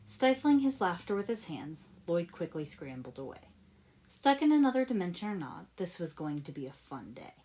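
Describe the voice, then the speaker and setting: A woman reading fan fiction aloud as an expressive story narrator. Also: fairly low pitch, normal speed, low-quality recording. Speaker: podfic reader.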